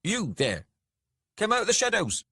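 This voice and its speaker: Loud, hostile dwarf guard. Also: male voice, high-pitched, huge pitch swings, moderate pace, slightly rough, dry recording, studio quality, pitched above the performer's own voice, exaggerated voice. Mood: challenging, suspicious. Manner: loud, challenging. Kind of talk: fantasy audiobook character voice.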